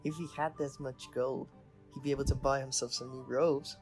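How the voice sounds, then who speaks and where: Woman doing a sceptical teen wizard boy for an audio play, low voice, plain questioning way of talking, music behind. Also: fairly even intonation, normal speed, darkish tone, poor audio. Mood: disbelieving. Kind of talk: fan audio drama acting.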